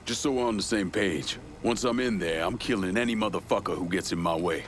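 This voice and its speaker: An actor playing a grim, determined vigilante in a video game, terse and hard-edged. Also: male voice, low-pitched, fairly quick, slight background noise, close to an everyday voice. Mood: cold, determined. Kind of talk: video game cutscene acting.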